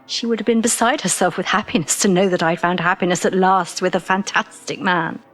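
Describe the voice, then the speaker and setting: A woman's voice: fairly low pitch, steady tone, fairly quick, soft background music, close to an everyday voice. A woman playing a devoted, playful, possessive British lover in an audio drama, speaking tenderly. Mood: happy, devoted.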